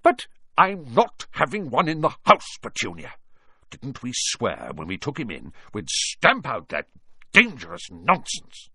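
A man's voice: mid-range voice, wildly swooping pitch, a little slow, pushed and bright, slightly rough, no room sound, pitched above the performer's own voice, exaggerated voice. A man voicing a blustering, bullying middle-aged uncle who barks, sneers and snaps in a storybook reading. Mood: agitated. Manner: barking, sneering, snapping.

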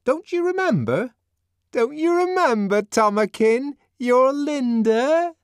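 A man reading an audiobook, voicing a desperate aging woman with a shrill, quavering plea. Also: sing-song, takes it slowly, clean tone, dry recording, studio quality, full character voice. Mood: pleading. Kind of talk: audiobook character voice.

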